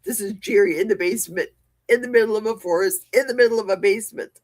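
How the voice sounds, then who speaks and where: A woman's voice: medium pitch, quick pace, darkish tone, no echo. A woman hosting a casual livestream from her home basement, chatty and jokey as she answers viewers' comments. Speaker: livestream host. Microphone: desk mic with a cough button.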